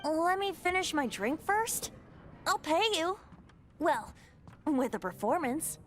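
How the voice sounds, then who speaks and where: A woman's voice: high-pitched, big pitch swings, slow, soft background music, exaggerated voice. A woman voicing a playful, mischievous young male bard in a video game, with a teasing, theatrical way of talking. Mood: cheeky. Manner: theatrical, teasing, grandiose phrasing.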